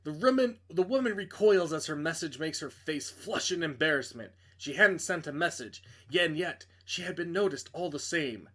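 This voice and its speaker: Higher-pitched man doing a game playthrough. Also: fairly bright tone. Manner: reads narration dramatically, stumbles and breaks off for blunt asides. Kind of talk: let's play, reading story text aloud with casual commentary.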